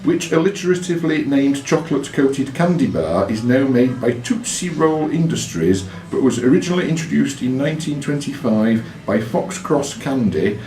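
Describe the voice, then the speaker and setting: A man's voice: medium pitch, expressive, normal speed, few pauses, darkish tone. Male quiz host, mid-range voice, echoey room, reading questions and calling on players. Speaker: quiz master reading questions and judging answers. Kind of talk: live quiz question reading with informal judging. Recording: roomy echo, faint music behind.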